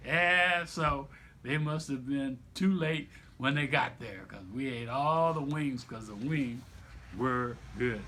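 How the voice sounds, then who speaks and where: A man reading aloud to children like a teacher, with deliberate, emphatic phrasing and explanatory asides. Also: medium pitch, bright, forceful tone, slight background noise. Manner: deliberate, emphatic phrasing with repetition for young listeners.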